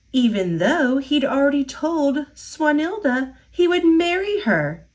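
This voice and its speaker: Woman reading a kids picture book, slow and careful, pitch swoops all over. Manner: expressive, slow storytelling voice. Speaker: storyteller. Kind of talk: children's picture book read-aloud.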